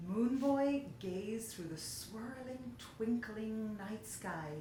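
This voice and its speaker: A woman's voice: on the high side, very slow, warm tone. A woman narrating a children's story expressively, in the manner of a storyteller reading aloud. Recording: very echoey room, faint hiss.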